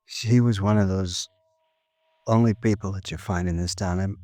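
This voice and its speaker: An actor playing a grieving, weary man confessing in a halting, brooding, husky voice, in 1950s radio drama style. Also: deep voice, steady tone, dark tone, no room sound, close to an everyday voice. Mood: mournful, confessing.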